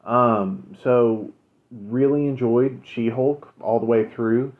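A man co-hosting a podcast, chatting casually and recommending shows, relaxed with many fillers. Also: medium pitch, slow pace. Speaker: podcast co-host. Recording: phone-like narrow sound.

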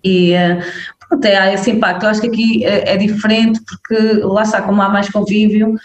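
A young woman with a northern Portuguese accent, a guest talking casually in an interview, lively and full of fillers and quick checks with the listener. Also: medium pitch. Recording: roomy echo.

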